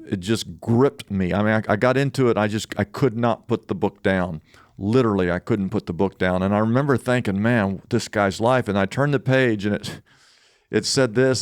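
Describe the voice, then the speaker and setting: A male interview host with a fairly low, clear voice in a dry-sounding recording. He speaks conversationally and earnestly, repeating phrases to drive a point home. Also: no room sound.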